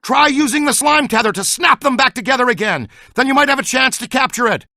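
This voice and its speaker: An actor playing an excitable, geeky male scientist who investigates the paranormal in a video game, earnest and full of technical jargon. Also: very high-pitched, fairly quick, vocal fry, no room sound, studio quality, heavily acted. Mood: excited, awed. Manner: earnest, technical jargon, swings into boyish enthusiasm. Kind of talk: scripted game dialogue.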